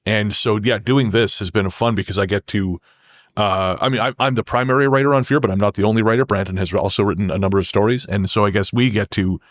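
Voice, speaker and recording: A man's voice: on the low side, fairly even intonation, quick pace, no room sound, clean studio sound. A man speaking as a podcast guest, explaining things in a conversational interview style. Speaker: podcast guest, horror writer.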